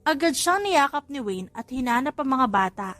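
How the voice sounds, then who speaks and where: A Filipino woman narrates a romance audiobook in a fairly high voice. She reads from a script as a storyteller, with music playing underneath. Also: lively intonation, normal speed, fairly bright tone.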